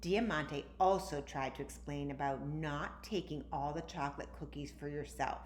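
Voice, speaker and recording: A woman reading a children's story aloud with animated, emphatic narration. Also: deep voice. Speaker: storytime reader. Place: indoor room, recorded at home. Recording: roomy, echoey, faint background noise.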